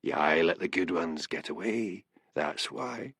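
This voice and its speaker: A man voicing a sly, cunning old Highland seer, needling and teasing in an audiobook story. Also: expressive, measured pace, vocal fry, a bit bright, husky, no room sound, studio quality, heavily acted. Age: older.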